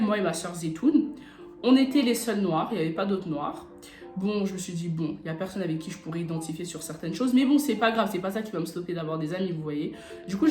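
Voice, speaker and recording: A young woman from France telling personal stories in a casual, animated, slangy chat as a video blogger. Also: mid-range voice. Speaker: YouTube vlogger. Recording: roomy echo, music bed behind. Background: background beat music.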